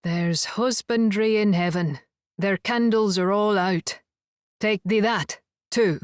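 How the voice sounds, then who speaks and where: Woman as a slow, projecting stage actress. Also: mid-range voice, no room sound, clean studio sound, natural voice. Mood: stagey, deliberate. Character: female amateur stage actress declaiming Macbeth. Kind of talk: theatrical Shakespearean declamation.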